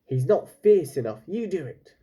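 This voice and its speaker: A man voicing timid, reluctant storybook pirates who bicker among themselves in urgent, hushed argument. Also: fairly high pitch, big pitch swings, normal speed, husky, pitched above the performer's own voice. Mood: nervous bickering. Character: squabbling, reluctant band of timid pirate rowers.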